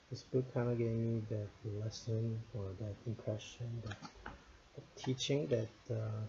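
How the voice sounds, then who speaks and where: A man's voice: medium pitch, dark tone, lo-fi sound, a little hiss or hum. A man of thirty-nine whose first language is Korean, giving a casual, thoughtful spoken reflection on a book, with many restarts and fillers. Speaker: book reviewer.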